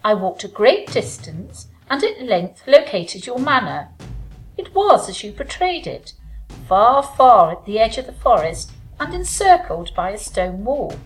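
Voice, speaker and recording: A woman playing a bold young gentlewoman in a folk tale, speaking in formal, theatrical, old-fashioned diction. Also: fairly high pitch, sing-song, unhurried, faint music behind, low-quality recording. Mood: pointed, suspenseful. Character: bold young gentlewoman bride recounting a sinister dream.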